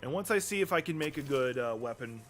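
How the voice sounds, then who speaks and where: Hoarse sounding male game streamer. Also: mid-range voice, lively intonation, fast talker, fairly bright tone, soft background music. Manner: rambling, many ums and restarts, talking through menus. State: losing his voice. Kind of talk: casual live-stream commentary.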